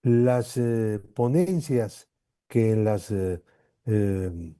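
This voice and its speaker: A man chairing a public hearing, speaking formally in a deliberate, halting and measured manner. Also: fairly low pitch, expressive, warm tone, clear, resonant tone, no room sound, clean studio sound.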